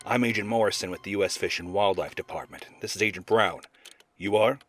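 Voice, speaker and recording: A man's voice: fairly low pitch, a little fast, fairly bright tone, faint music behind, lightly acted. A man playing a level-headed wildlife agent in an audio drama, speaking in a casual, matter-of-fact way. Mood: guarded.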